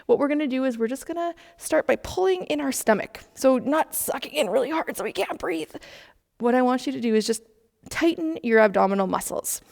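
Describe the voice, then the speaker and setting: A woman's voice: sits high, expressive, fairly quick, creaky, darkish tone. Woman doing casual instructions for kids, encouraging, big echoey room sound. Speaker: children's time leader at church. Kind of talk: casual spoken instruction to children. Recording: long echoing room reverb.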